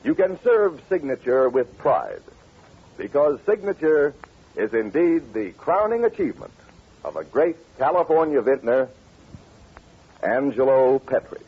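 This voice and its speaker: An American man announcing and pitching in 1940s radio style, polished and emphatic. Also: very animated, a little slow, husky. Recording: tinny, scratchy old radio recording.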